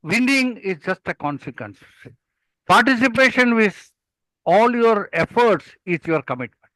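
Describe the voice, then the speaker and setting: A man's voice: sits high, lively intonation, a little slow, bright, forceful tone, no echo, rough recording. An older man with an Indian accent, speaking as a mentor in an interview and telling anecdotes in an emphatic storytelling way. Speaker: public speaking mentor and guest.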